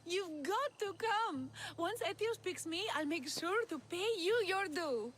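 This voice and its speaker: Lovesick game maiden: very high, swooping voice, naive, earnest, desperate. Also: female voice, lively intonation, unhurried, fairly bright tone, slight background noise, heavily acted. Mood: eager. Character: lovesick, desperate young woman, naive and pleading. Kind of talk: dramatic video game acting.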